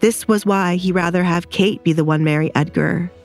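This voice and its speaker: A woman's voice: lowish voice, steady tone, normal speed, a little mellow, soft background music. A woman narrator reading a scripted romance drama.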